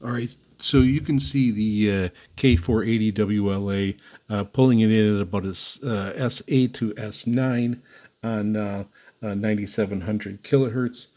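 A man giving an unscripted hands-on demonstration as host, in a halting, hesitant way. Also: on the low side, fairly even intonation, darkish tone. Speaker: host demonstrating radio antennas. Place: indoor room beside radio desk.

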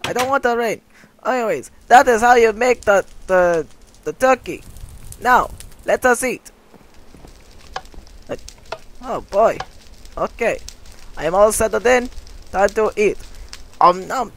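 Male performer voicing a zany, squeaky-voiced cooking host; rambles, trips over words. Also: expressive, takes it slowly, pauses often, fairly bright tone, slight background noise, heavily acted, rough recording. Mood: goofy. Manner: rambling, silly, self-interrupting. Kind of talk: comedic game-video voice acting.

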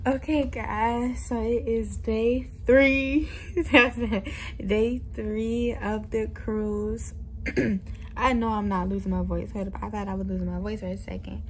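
A woman's voice: mid-range voice, slight background noise, rough recording. A young woman in her twenties chatting casually to her viewers from a cruise cabin, with a phone held at arm's length. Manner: chatty, addresses viewers as y'all, relaxed and upbeat. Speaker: vlogger. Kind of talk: casual vlog chat to camera. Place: cruise ship cabin, indoors. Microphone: phone held close at arm's length.

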